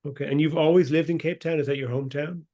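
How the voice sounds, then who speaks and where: A man hosting a podcast interview, chatting casually and warmly with his guest, often restarting his sentences. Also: a little high, steady tone, quick pace. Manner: friendly, chatty, with frequent restarts. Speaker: podcast host.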